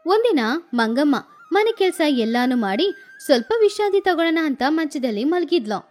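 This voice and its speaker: High-voiced woman narrating a cartoon story. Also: big pitch swings, normal speed, a little mellow.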